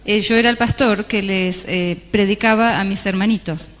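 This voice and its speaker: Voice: medium pitch, fairly even intonation, moderate pace, fairly bright tone. An interpreter renders a religious talk phrase by phrase, with small hesitations along the way. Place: large church hall. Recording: long hall reverb.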